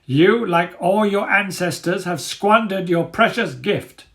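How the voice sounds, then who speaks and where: A man's voice: sits high, unhurried, vocal fry, fairly bright tone, voice pushed up, exaggerated voice. A man voicing a menacing male dog who leads wild animals, declaiming accusations in a storybook reading. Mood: grave accusation.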